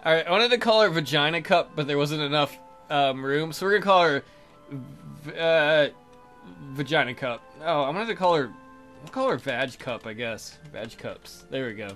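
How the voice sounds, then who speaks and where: A man's voice: a little high, lively intonation, moderate pace, fairly bright tone, faint music behind. A man hosting a let's play, reading visual novel text aloud and cracking irreverent, crude jokes. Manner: irreverent, crude joking, frequent mock voices. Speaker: let's play video host.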